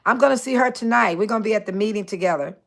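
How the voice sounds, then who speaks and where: A woman in her sixties hosting a casual livestream, chatting warmly with viewers by name and laughing often. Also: medium pitch, racing, creaky. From Chicago area. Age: 60s. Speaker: livestream host. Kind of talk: casual livestream chat reading viewer comments.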